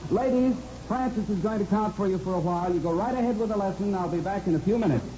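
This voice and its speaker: A man's voice: high-pitched, quick pace, lightly acted. An actor playing an obliging, chatty male gym proprietor in a vintage radio police drama. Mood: obliging, casual. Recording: muffled, noisy vintage radio recording.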